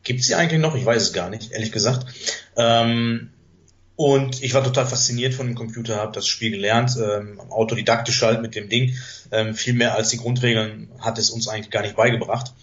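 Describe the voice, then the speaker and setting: A man's voice: medium pitch, fairly even intonation, a little fast. A man in his fifties, born and raised in Germany with Greek as his mother tongue, telling his life story as a podcast guest in a rambling way, full of hesitations and self-corrections. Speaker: podcast guest, chess master. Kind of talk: casual conversational storytelling. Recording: dull lo-fi podcast audio.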